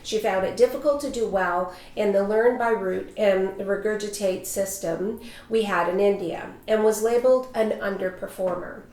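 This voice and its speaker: A woman's voice: mid-range voice, moderate pace, darkish tone. A woman hosting a talk show, welcoming her panel with a polished, inviting delivery. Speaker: host and life coach. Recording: echoey room.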